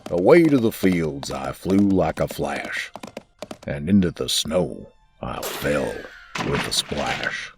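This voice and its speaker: A deep, gravelly storyteller voiced by a man recites his holiday rhyme slowly and rhythmically. The voice is husky and rough-edged, with soft music underneath. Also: expressive, heavily acted. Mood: storytelling. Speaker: voice actor. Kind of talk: fan dub rhyming storybook narration.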